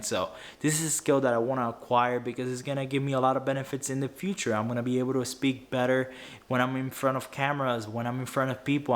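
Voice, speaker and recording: Young guy, spanish is his first language, earnest, trips on words a lot, mid pitch. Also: fairly bright tone. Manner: earnest, stumbling with many restarts. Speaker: YouTuber. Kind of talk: unscripted finance advice to camera.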